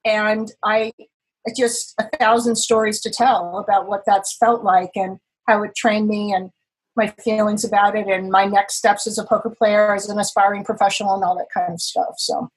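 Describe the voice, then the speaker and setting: Husky-voiced woman in her sixties. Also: mid-range voice, normal speed, poor audio. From Los Angeles, California. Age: 60s. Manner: chatty, animated, self-deprecating. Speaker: podcast guest, writer and poker player. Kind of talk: casual interview over video call. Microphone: video call.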